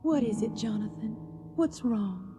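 An actress playing an earnest, devoted young Tennessee daughter in a radio drama, with a soft, breathy, tender way of pleading. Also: female voice, mid-range voice, expressive, measured pace, over music, lightly acted. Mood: eager, tender.

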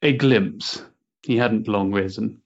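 An actor playing a restless, sensitive young Victorian gentleman in a stage play, hesitant and politely mannered. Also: on the low side, slow, highs cut off. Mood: amused, dry. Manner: hesitant, polite, mannered upper-class diction. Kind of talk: period stage-play acting.

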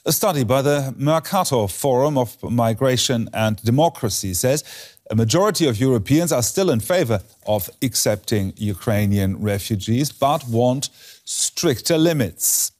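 A man presenting a broadcast news report with even, formal delivery. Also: medium pitch, moderate pace. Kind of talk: scripted broadcast news reading.

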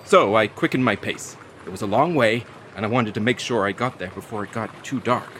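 Man performing a hapless young delivery carrier, lowish voice, wry theatrical storyteller, anxious, audio drama narration. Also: a little fast, a bit bright, a little hiss or hum, lightly acted. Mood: uneasy, suspenseful. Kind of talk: dramatic first-person narration in an audio play.

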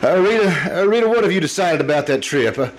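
A man acts out a haunted married man in an old-time radio drama. His fairly high voice is hesitant and stammering, full of worry. The live recording carries faint noise. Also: lively intonation, quick pace, low-quality recording. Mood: weary, uneasy. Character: anxious, haunted, haggard married man fearing himself.

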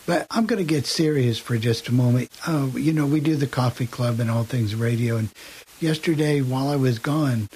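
A man hosting talk radio, speaking earnestly and a little haltingly. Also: mid-range voice, darkish tone. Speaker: radio show host. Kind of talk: casual talk radio announcement. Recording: slight hiss.

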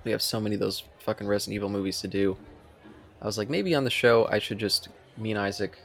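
Male co-host, fairly deep, rapid casual talker. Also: gravelly, slight background noise. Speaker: podcast co-host. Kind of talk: casual chat.

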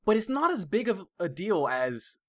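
A young man co-hosting a casual podcast, getting animated and ranting at his friends. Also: high voice, normal speed. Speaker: podcast co-host. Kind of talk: casual chat.

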